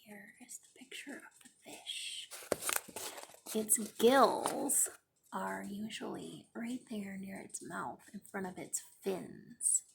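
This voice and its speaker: A young woman teacher reading a picture book aloud for children in a classroom, pausing to explain words and pages. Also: medium pitch, creaky, heavy background noise. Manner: slow, expressive storytime reading with explanations.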